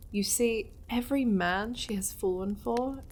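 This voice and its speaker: A woman voicing a seductive, possessive demon lady in an ASMR roleplay, languid and teasing, slipping into breathy half-whispers. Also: fairly high pitch, unhurried, a little mellow, a little hiss or hum, natural voice. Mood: soft, wistful. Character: seductive, possessive powerful demon lady doting on her pet.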